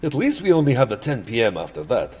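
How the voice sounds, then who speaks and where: A man's voice: mid-range voice, huge pitch swings, fast, darkish tone. A man playing a weary, exasperated vampire entertainer in a comic audio drama, grumbling theatrically and petulantly.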